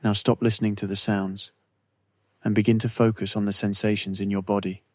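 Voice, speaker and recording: A man narrating a scripted guided meditation in a slow, measured, soothing way with long pauses. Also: fairly low pitch, little pitch movement, a little mellow, dry recording.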